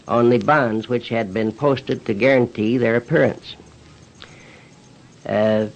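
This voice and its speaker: Male official, mid-pitched, stiffly formal and halting, giving testimony on dull vintage radio. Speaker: special assistant prosecuting attorney. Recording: dull, band-limited old radio recording.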